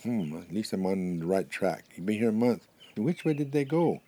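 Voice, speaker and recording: A man's voice: fairly low pitch, lively intonation, moderate pace, dark tone. A man voicing a small, determined and crafty porcupine who hollers insistently in an oral animal folktale. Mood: curious, eager.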